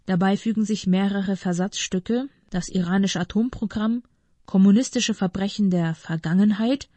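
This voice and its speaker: Woman reading political commentary, measured n formal, really mellow voice, dry sound no room. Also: medium pitch, dry recording. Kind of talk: scripted political commentary read aloud.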